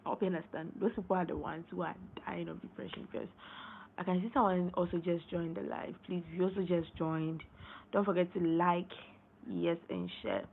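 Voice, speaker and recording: A young woman with a hoarse, gravelly, low-set voice, talking loosely on a late-night live stream. She rambles, repeats words and keeps clearing her throat. The sound is thin and phone-like, with a faint hiss behind her. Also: steady tone, brisk. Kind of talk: casual live-stream talk. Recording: tinny phone-line sound, faint noise.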